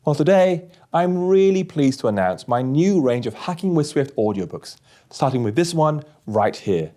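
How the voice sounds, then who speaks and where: A man narrating a scripted story as an audiobook host, with measured, deliberate phrasing. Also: fairly high pitch, sing-song, dark tone.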